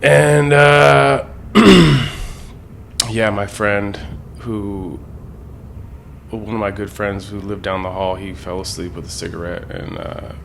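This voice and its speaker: A man's voice: lowish voice, steady tone, takes it slowly, creaky, long pauses, fairly bright tone, slight background noise. A man, talking in a loose, slangy, rambling way as an interview guest. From San Francisco Bay Area, US. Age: 40s. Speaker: interview guest, graffiti artist. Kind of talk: casual reflective interview talk.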